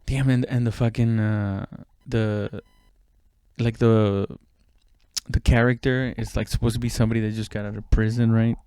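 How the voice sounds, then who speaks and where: A man's voice: lowish voice, flat delivery, normal speed, dark tone, smooth, no echo, clean studio sound. A man giving a casual, rambling comedic monologue, self-deprecating and swearing freely. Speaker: comedian and podcast host.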